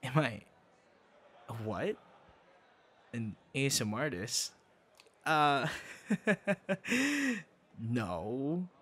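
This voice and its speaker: A man playing a bashful young man with a secret hobby in a boyfriend audio roleplay, talking in a halting, flustered, conversational way. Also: medium pitch, sing-song, a bit bright, heavily acted. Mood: flustered, denying. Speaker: ASMR audio roleplay performer. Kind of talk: intimate boyfriend ASMR roleplay acting. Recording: clear background noise, ambience.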